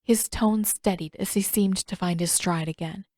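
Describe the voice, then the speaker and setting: A woman's voice: medium pitch, steady tone, moderate pace, darkish tone, dry recording, studio quality. A woman reading scripted fiction aloud as an audiobook narrator.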